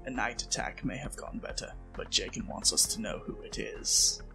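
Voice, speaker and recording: Man playing frail old king, soft-spoken and grave. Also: lowish voice, creaky. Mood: grave, urgent. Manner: soft-spoken, measured, formal. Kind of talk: audio drama acting. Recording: music bed behind the voice.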